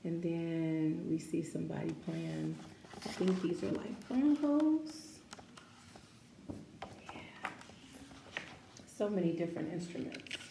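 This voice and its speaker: A woman reading a picture book aloud for children's story time, expressive and deliberate, with casual asides and questions to young listeners. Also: on the low side, gravelly. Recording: roomy echo, faint background noise.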